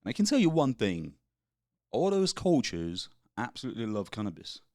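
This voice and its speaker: A man's voice: medium pitch, big pitch swings, normal speed, a little mellow, no room sound, clean studio sound. A Russian-born man in his forties who grew up in England, speaking in a laid-back, swear-heavy chat as a podcast guest. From Russia, raised in England. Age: 40s. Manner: laid-back, swears freely, British slang. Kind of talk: casual interview chat.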